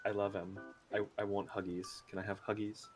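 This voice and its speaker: Casting: male stream guest, lowish voice, playfully dramatic and jokey, casual banter, soft music behind. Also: little pitch movement, brisk.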